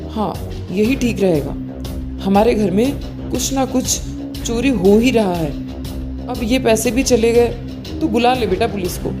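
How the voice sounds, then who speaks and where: A woman plays an older mother-in-law in a family storybook tale. She is fretful and quick to scold, with a slightly high voice over clearly audible music. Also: lightly acted. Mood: suspicious. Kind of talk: dramatized moral story dialogue.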